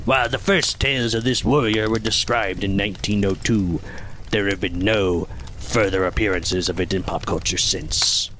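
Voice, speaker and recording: A man's voice: on the low side, steady tone, normal speed, fairly bright tone, a little hiss or hum, lightly acted. A man playing a grandiose, portentous saga narrator in an overly dramatic scripted style. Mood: grave. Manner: portentous, solemn. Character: solemn, grandiose male narrator of an epic saga. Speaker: podcast host and narrator. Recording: dramatic music behind the voice.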